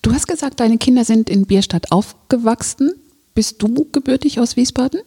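A woman asks curious, direct questions in an interview. Her voice is mellow and dark, with a husky grain. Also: a little high, normal speed.

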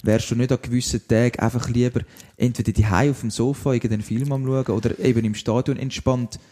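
A man's voice: medium pitch, little pitch movement, fast, dark tone. A man with a Swiss German accent, interviewing a guest in a friendly, playful way. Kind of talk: casual interview.

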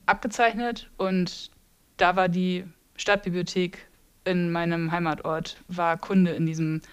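Eine Frau aus Norddeutschland um die dreißig, eine Bibliothekarin, die locker und mit vielen Füllwörtern im Podcast erzählt. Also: female voice, lowish voice, level tone, a little slow, clean tone. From northern Germany. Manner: hesitant, many fillers. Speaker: librarian. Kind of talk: casual podcast chat.

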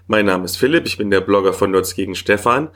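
A man's voice: fairly low pitch, fast, fairly bright tone. A man hosting a podcast, interviewing a guest with friendly, structured questions.

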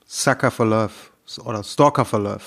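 A man's voice: lowish voice, normal speed, vocal fry, fairly bright tone. A young man co-hosting a podcast in casual chat, easygoing, prompting and commenting on what he is told. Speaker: podcast co-host.

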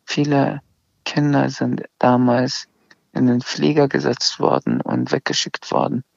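A man's voice: medium pitch, big pitch swings, a little slow, gravelly, dry recording. A middle-aged man from Iran, Azerbaijani speaker, telling his story hesitantly in a casual interview, with many restarts and fillers. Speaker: interview guest. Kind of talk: casual conversation. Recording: slightly dull lo-fi sound.

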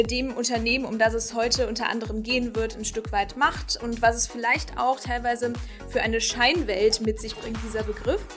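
A woman's voice: fairly high pitch, a bit bright. A woman hosting a podcast, introducing a guest and asking questions in a conversational interview style. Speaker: podcast host.

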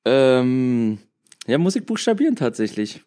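A man's voice: mid-range voice, big pitch swings, measured pace, mellow, clean tone. A man in casual podcast chat from his living room couch, talking with many fillers and restarts and often laughing. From Germany. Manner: chatty, hesitant, laughs often. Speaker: podcast guest. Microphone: laptop call.

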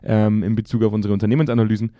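Fast-talking hoarse man, dry close mic. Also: fairly low pitch, flat delivery, no room sound, dull audio. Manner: quick, joking and teasing. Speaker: podcast co-host. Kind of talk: casual joking chat. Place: office.

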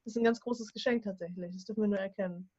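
A woman hosting an interview talk show, asking questions in a friendly, encouraging way with quick affirmations. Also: mid-range voice, steady tone, darkish tone, slightly rough. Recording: dull lo-fi sound with faint music.

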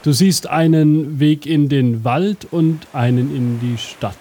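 A man hosting an interactive audio adventure as game master, with a rambling, absent-minded, deadpan delivery. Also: fairly high pitch, takes it slowly, slightly rough. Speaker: game master and show host.

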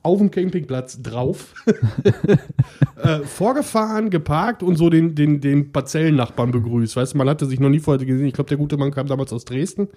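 A man's voice: on the high side, moderate pace, warm tone. A man from the Ruhr area with a Ruhr German accent, chatting casually and joking with many fillers. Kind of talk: casual chat.

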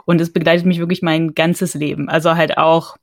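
A woman's voice: low voice, flat delivery, brisk, dry recording. Female co-host, eager and giggly, dry close mic. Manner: warm, enthusiastic fan talk with giggles. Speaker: podcast co-host. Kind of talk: casual interview chat.

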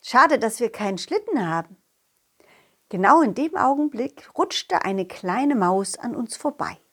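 Casting: upbeat, loyal cartoon dog, voiced by a woman, highish voice, unhurried, playful storytelling for children's audio play. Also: husky. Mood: cheerful, narrating. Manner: playful, expressive, addressing a companion. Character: cheerful, confident, loyal talking dog telling his adventures.

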